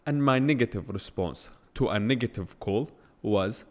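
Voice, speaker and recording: A man's voice: lowish voice, normal speed, in a large hall. A man hosting a solo podcast, talking without a script in a blunt, emphatic way and firing off rhetorical questions. English is not his first language. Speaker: podcast host. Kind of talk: unscripted solo podcast monologue.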